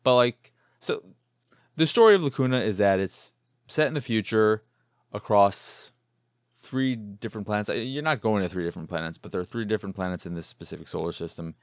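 Gravelly low-voiced man reviewing games. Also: expressive, brisk, no echo, clean studio sound. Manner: rambling, with emphatic repeated words. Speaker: podcast host reviewing video games. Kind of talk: casual solo review talk.

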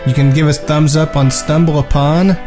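A man's voice: on the high side, fairly even intonation, normal speed, over music. A man co-hosting a podcast with casual, joking banter. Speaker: podcast co-host.

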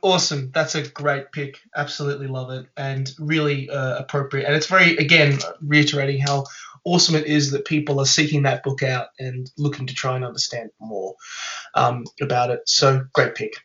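A man's voice: medium pitch, little pitch movement, moderate pace, pushed and bright, lo-fi sound. A man hosting a podcast, upbeat and enthusiastic with frequent fillers. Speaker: podcast host. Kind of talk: casual podcast chat.

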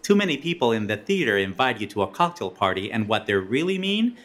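A man's voice: fairly low pitch, very animated, brisk, fairly bright tone. An actor playing a blunt, bickering male playwright who talks with sardonic emphasis.

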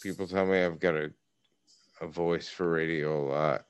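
A man chatting casually on a podcast, joking deadpan and swearing freely. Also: deep voice, moderate pace, clear, resonant tone, dry recording. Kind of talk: casual chat.

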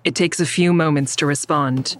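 A woman's voice: sits low, fairly even intonation, normal speed, no echo, lightly acted. Woman acting a guarded, defiant mother. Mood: wary, confiding. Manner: wary, stubborn, confiding in narration. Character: guarded, defiant grieving mother narrating her risky investigation. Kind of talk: first-person audio drama narration and dialogue.

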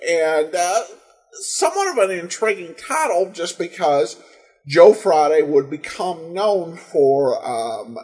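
A man hosting a podcast, giving unscripted commentary and listener feedback in a halting way full of ums and uhs. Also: a little high, darkish tone. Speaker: podcast host.

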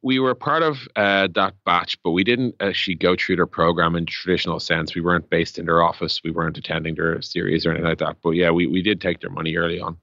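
A man's voice: deep voice, steady tone, quick pace, hardly any pauses, sharp tone, no echo, muffled recording. An Irish man explaining business as an interview guest, rambling conversationally with lots of "like" and "you know". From Ireland. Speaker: interview guest, tech startup co-founder.

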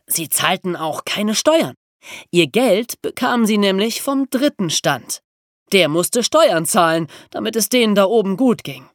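Animated woman explaining history. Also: medium pitch, unhurried, no echo, studio quality. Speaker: podcast host explaining history. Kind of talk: lively educational explainer for young listeners.

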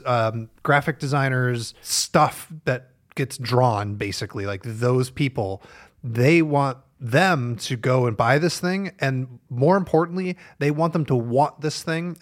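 A man co-hosting a tech podcast, talking casually and arguing his point. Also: medium pitch. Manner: casual, argumentative, lots of "like". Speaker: podcast co-host. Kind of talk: podcast discussion.

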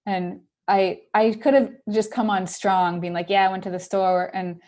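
A woman's voice: medium pitch, steady tone, a little mellow. A young woman with a Wisconsin accent, chatting as a guest on a casual podcast and telling stories with lots of "like". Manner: chatty, quick storytelling with many "like" fillers. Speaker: guest scientist.

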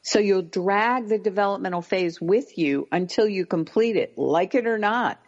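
A middle-aged woman with a low voice, a parenting expert giving calm, deliberate advice in an interview. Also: highs cut off. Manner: calm, deliberate, slows words for emphasis.

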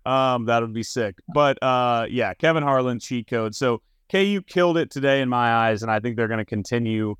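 A man's voice: medium pitch, normal speed, hardly any pauses, a bit bright, clean tone, dry recording, studio quality. A man hosting a casual sports talk show, conversational and emphatic with his opinions. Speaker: sports podcast host.

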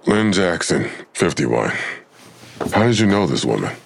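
An actor playing a terse, brooding police detective who questions everything in an audio drama, with a gravelly voice. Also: male voice, low-pitched, big pitch swings, unhurried, heavily acted. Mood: probing.

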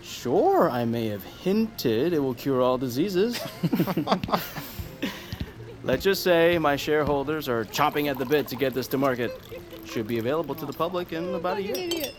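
A cocky celebrity surgeon. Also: male voice, fairly high pitch, sing-song, moderate pace. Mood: glib. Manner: glib, self-assured. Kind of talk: audio drama acting.

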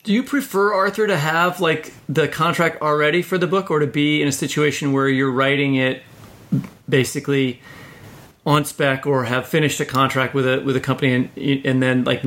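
A man hosting a podcast, asking casual, roundabout interview questions in a gravelly, creaky voice. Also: medium pitch, steady tone, brisk, fairly bright tone.

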